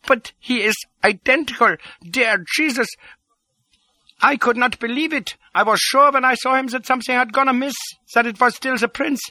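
A man's voice: very high voice, takes it slowly, few pauses, sharp tone, no room sound, pitched above the performer's own voice, exaggerated voice. A man voicing an anxious, easily startled little doctor in an audiobook, with a flustered, stammering manner. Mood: shocked disbelief.

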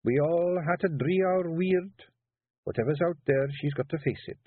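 A man voicing a young Scottish fairy clan wife who speaks in a dangerously sweet, measured way. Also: fairly high pitch, no echo, pitched above the performer's own voice, lightly acted. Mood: thoughtful. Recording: tinny phone-like audio.

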